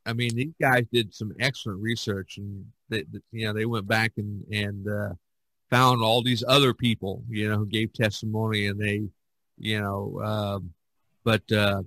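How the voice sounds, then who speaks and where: A man's voice: on the low side, little pitch movement, normal speed, pushed and bright, rough recording. A man hosting a podcast in casual discussion, rambling with many fillers and restarts. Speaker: podcast host.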